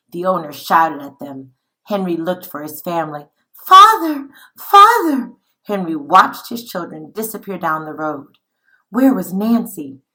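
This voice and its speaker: A woman reading a children's picture book aloud like a teacher, in a dramatic, deliberate, expressive way. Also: deep voice, poor audio. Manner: dramatic, deliberate, with acted-out dialogue.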